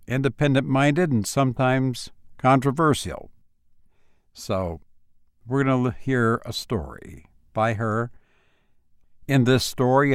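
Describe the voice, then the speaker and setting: A man reads in the manner of an audiobook narrator and podcast host. His pace is slow and his phrasing deliberate, at an average male pitch. The sound is close and dry. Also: no room sound. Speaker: podcast host and story reader.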